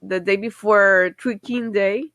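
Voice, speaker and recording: A woman co-hosting a casual podcast who is sick with a cold, sounding nasal and congested, chatty and quick to laugh. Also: mid-range voice, steady tone, fairly bright tone, dry recording. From Latin America. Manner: chatty, laughs often, code-switches into Spanish. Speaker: podcast co-host.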